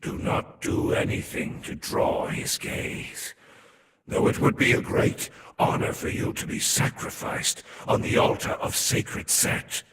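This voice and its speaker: A man voicing a haughty, ominous serpent-folk overlord in a fantasy game, speaking in solemn, formal, imperious pronouncements with a breathy, whispery tone. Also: measured pace. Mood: lofty, condescending. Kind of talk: scripted fantasy game lore narration. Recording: echoey hall reverb with ambient noise.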